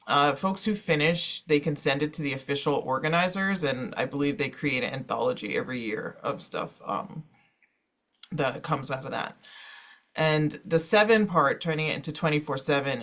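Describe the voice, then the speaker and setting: A man presenting informally to an online panel as a moderator, explaining things in a halting, conversational way. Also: mid-range voice, fairly bright tone. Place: online panel, speaking over slides.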